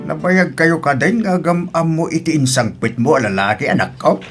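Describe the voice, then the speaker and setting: A man's voice: a little high, lively intonation, normal speed, over music, lightly acted. A man acting in an Ilocano radio drama as a wary, disapproving older father of a grown daughter, speaking with an Ilocano accent. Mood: suspicious.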